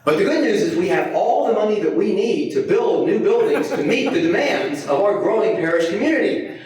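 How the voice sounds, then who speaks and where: A man leading a parish fundraising campaign, giving plain, measured opening remarks with a few fillers. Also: high-pitched, very animated, crowd noise. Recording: distant mic in a very echoey hall.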